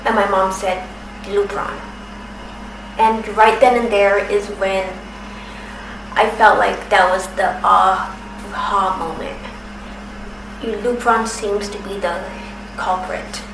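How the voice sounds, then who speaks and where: Woman in her 30s with a stutter, slow careful talk, echoey room and noisy background. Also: mid-range voice, little pitch movement. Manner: stutters, with repeated sounds and restarts. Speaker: documentary submitter. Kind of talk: personal testimony. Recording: roomy, distant from the mic, noisy background.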